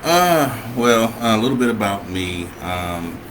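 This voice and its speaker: A middle-aged man from Michigan, a chef, talking about his life in a relaxed, hesitant way with many fillers. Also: on the low side, slow pace. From Michigan, USA. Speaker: guest, chef. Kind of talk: casual conversation on a livestream. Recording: noisy home recording.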